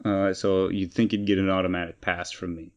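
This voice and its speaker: A man's voice: low-pitched, level tone, brisk, muffled recording. A man co-hosting a casual pop-culture podcast, talking in an opinionated, emphatic and rambling way. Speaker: podcast co-host.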